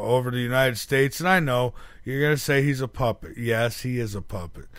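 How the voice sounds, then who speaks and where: A male radio host at an ordinary pitch. He rambles through his introductions and questions, packing them with "uh" and "you know", and the recording sounds dry and close. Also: a bit bright, dry recording. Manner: rambling with frequent fillers. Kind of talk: casual radio interview.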